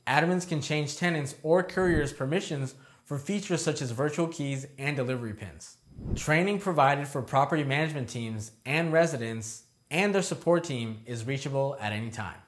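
A young man delivers scripted, informative narration as a video presenter. His pitch is average for a man, and he moves through his points in a structured way, setting off short headings. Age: young adult. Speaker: presenter of product review videos. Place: indoor studio with plain backdrop.

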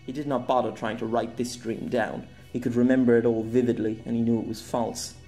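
A man narrating his own story as an audiobook, in a steady, measured voice. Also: mid-range voice. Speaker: author and narrator. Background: faint music.

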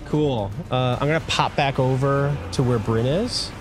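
A man's voice: medium pitch, measured pace, heavy background noise. A man playing a tabletop roleplaying game, chatting casually as he describes his moves. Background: cinematic music.

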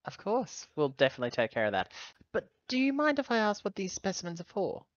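A man's voice: high voice, huge pitch swings, quick pace, no echo, pitched above the performer's own voice. Courteous, inquisitive ship's officer played by a man, muffled. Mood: politely probing.

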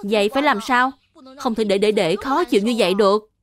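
A woman's voice: mid-range voice, brisk, close to an everyday voice. A woman voicing an earnest, questioning young boy who is an older brother, in a children's cartoon dub. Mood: concerned.

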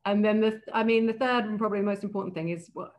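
A woman's voice: medium pitch, steady tone, fast talker, a little mellow. A woman who works as an opera casting director, talking as a guest on a video call interview, thoughtful and often restarting her sentences. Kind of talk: casual interview talk.

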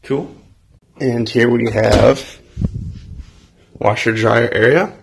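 A man's voice: medium pitch, steady tone, takes it slowly, vocal fry, a little hiss or hum. An American man in his twenties giving a casual, laid-back vlog tour, talking informally into a handheld phone indoors. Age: 20s. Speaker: vlogger. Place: empty apartment rooms indoors. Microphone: handheld phone at arm's length.

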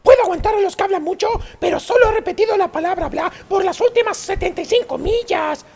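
A man's voice: very high voice, fast talker, vocal fry, crowd noise. A man voicing a fed-up flying pig, polite but grumbling, with a Latin American accent in a comic dub. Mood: exasperated.

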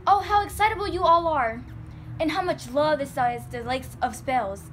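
A woman playing a kindly, sympathetic doctor in a stage play, gentle and concerned. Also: on the high side, moderate pace, close to an everyday voice. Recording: noisy stage recording.

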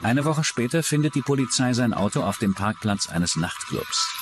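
A man's voice: mid-range voice, lively intonation, normal speed, music in the background. A man reading scripted true-crime documentary narration as the narrator.

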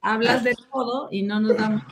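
A woman's voice: on the high side, normal speed, noisy background. A Mexican woman demonstrating a craft project, explaining each step as she works. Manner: explains step by step, warm and relaxed.

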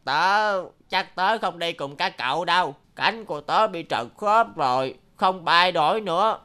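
A woman voicing a gentle little cartoon duck. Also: fairly low pitch, animated, measured pace. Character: kind young yellow duck, helpful and gentle. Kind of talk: cartoon dubbing. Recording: music under the voice.

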